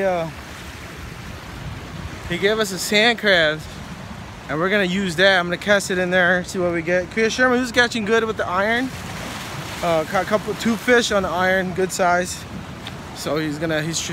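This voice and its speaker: A fairly high-voiced man chats into a handheld phone on a windy lagoon shore. He rambles easily, with frequent fillers and restarts. Wind and water noise sit clearly behind him. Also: fairly even intonation, normal speed. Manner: chatty, many fillers and restarts. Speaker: fishing vlogger. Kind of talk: casual outdoor vlog. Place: rocky lagoon shore outdoors. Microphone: phone camera at arm's length.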